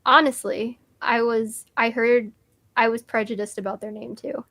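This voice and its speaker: A young woman chatting eagerly as co-host of a music review, her voice sitting a little high. She gushes and stumbles through restarts and fillers, and her voice is fraying from overuse. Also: steady tone, normal speed, a bit bright, dry recording. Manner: enthusiastic, gushing, many likes and restarts. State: losing her voice. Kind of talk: casual chat.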